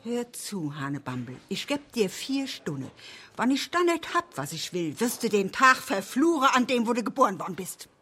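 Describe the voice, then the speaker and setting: A woman's voice: a little high, sing-song, normal speed, bright, forceful tone. An actress playing a haughty, indignant upper-class mother in a radio drama, imperious, theatrical and bullying. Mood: threatening. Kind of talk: German radio drama acting.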